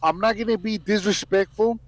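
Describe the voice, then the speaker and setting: A man's voice: high-pitched, moderate pace. A man making online videos, talking casually to viewers in slang with Spanish words mixed in. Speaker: YouTube content creator.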